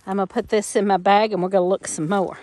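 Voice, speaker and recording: A woman's voice: mid-range voice, steady tone, quick pace, a little mellow. A middle-aged woman, chatting folksily while walking the woods of her farm, filming as she goes. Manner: chatty, folksy, laughs easily, many fillers. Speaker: homestead vlogger. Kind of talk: casual walk-and-talk narration. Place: outdoors in the woods behind a farmhouse. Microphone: handheld camera while walking.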